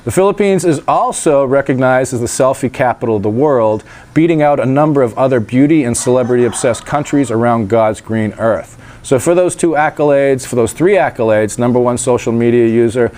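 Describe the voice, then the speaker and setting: A man's voice: medium pitch, a little fast, a little mellow. A man vlogging outdoors in casual talk, a little nasal from a stuffed-up nose, with chatty, wry asides. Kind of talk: casual vlog talk to camera. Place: outdoors in sunshine by a gate.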